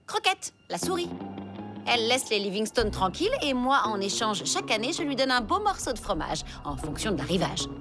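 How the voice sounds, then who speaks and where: A shrewd, worldly female pet in a cartoon, French accent, sardonic and unflustered. The voice is fairly high and lively, with music behind. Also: fairly quick.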